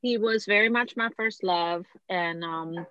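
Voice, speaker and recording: A woman's voice: medium pitch, unhurried, pushed and bright, no echo, muffled recording. A woman in her forties from Germany, telling her own story conversationally as a podcast guest. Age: 40s.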